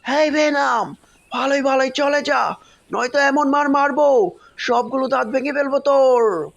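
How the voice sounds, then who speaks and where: A man's voice: very high voice, measured pace, creaky, a bit bright, lo-fi sound, exaggerated voice. A man voicing a protective, bold superhero in a children's cartoon, with a commanding, threatening manner. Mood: defiant, protective. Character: protective, threatening male superhero owner of cow.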